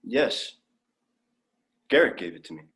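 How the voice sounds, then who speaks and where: A man's voice: mid-range voice, sing-song, unhurried, fairly bright tone. A young man playing an earnest, naive alien traveller in a sci-fi audio drama, polite and full of wonder. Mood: wondering, proud. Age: young adult.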